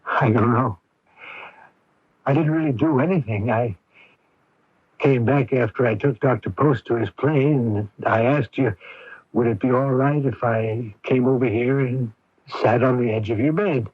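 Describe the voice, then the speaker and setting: Husky, hesitant, gentle father. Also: mid-range voice, measured pace, no echo. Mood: tender, hesitant. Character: gentle, hesitant, devoted father of young woman. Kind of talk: 1980s radio drama acting. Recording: dull, dry AM radio recording.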